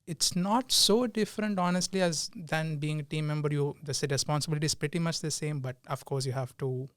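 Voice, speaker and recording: A young man from India, a podcast guest, answering in casual chat with pauses and frequent laughs. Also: on the high side, fairly even intonation, a bit bright, no room sound, studio quality. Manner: hesitant, laughs often. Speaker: guest, team lead.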